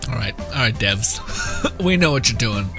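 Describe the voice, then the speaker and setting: Lively young man streaming games. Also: mid-range voice, moderate pace, over music. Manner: rambling, informal, joking. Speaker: gaming streamer. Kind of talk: casual let's-play commentary.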